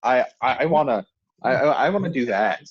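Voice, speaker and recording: A man's voice: medium pitch, expressive, a little fast, breathy edge, no room sound, lo-fi sound. A man in his twenties in casual chat, stammering and mostly agreeing. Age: 20s. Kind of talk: casual podcast banter.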